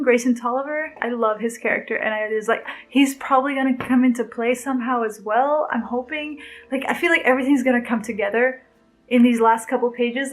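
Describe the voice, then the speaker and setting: A young woman in a casual read-along vlog, talking emotionally about a book she is reading, with lots of "like". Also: a little high. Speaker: book vlogger.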